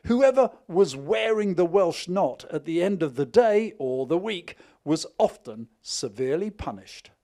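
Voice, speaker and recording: A man's voice: high-pitched, big pitch swings, a little slow, few pauses, warm tone, dry recording, studio quality. A man presenting a news feature, narrating and interviewing on location. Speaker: reporter and presenter. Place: outdoors at a castle.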